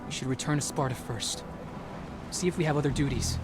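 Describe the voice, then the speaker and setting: An actor playing a serious, dutiful teenage Spartan warrior in a video game, speaking in a measured, formal, earnest way over background music. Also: male voice, medium pitch, close to an everyday voice.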